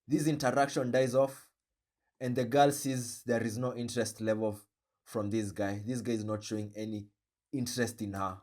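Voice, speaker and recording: A man with a Kenyan accent hosting a reaction video, commenting in an animated, joking way and switching between slang and English. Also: medium pitch, moderate pace. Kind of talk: commentary on a played clip.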